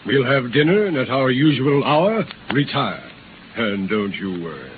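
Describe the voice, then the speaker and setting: Casting: stubborn, dignified frontier governor, formal delivery, 1930s radio play with heavy noise and narrow sound. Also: male voice, mid-range voice, very animated, measured pace. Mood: calm, reassuring. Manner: firm, formal, dismissive of danger. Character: proud, stubborn frontier governor, reassuring husband. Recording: noisy, thin 1930s radio recording.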